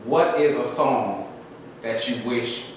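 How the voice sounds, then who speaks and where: A man hosting a podcast, asking relaxed questions and chiming in with short agreements. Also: medium pitch, takes it slowly. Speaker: podcast host. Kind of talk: casual interview. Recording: echoey room, distant mic, faint noise.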